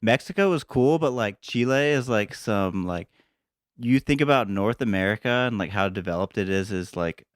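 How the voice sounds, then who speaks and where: A man with a low voice chats in a relaxed, slangy way on a podcast. His lines are filled with "dude" and "like." The sound is dry and close. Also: normal speed, dry recording. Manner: laid-back, slangy "dude" and "bro" talk. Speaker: podcast guest. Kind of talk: casual chat.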